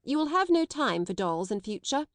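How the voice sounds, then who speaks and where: A harsh, domineering schoolmistress, put on by a woman reading aloud, scolding in a cold, sharp, raised voice that pushes higher and louder than the reader's own. The sound is close and dry, with no room around it. She talks down to her listener like someone used to being obeyed. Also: very animated, normal speed, no echo. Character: stern domineering middle-aged woman schoolmistress. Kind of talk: audiobook character voice.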